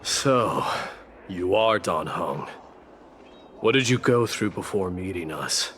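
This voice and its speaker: Game casting: proud stern warrior prince, low and unhurried, grave, over music. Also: male voice, expressive, fairly bright tone, slight background noise, heavily acted. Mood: wary, measured. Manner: measured, grave, guarded. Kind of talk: video game voice acting.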